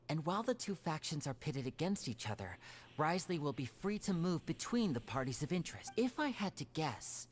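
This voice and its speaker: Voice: medium pitch, lightly acted. An actor voicing a composed, clever young man in an anime game, articulate and analytical, protective of his own. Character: composed, clever young male stage magician, protective brother. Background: game music.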